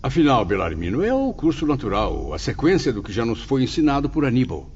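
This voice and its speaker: Set back from the mic in a dull recording, a man plays an anguished spirit student. His voice is gravelly and swings expressively through formal literary lines, confiding in the manner of an audio drama. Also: mid-range voice, huge pitch swings, brisk, darkish tone, slight background noise. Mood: reasoning. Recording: distant mic, dull sound, faint ambience.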